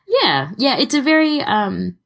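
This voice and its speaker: A woman co-hosting a podcast in casual chat, with an enthusiastic manner and a husky voice. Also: high-pitched, huge pitch swings, measured pace. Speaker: podcast co-host.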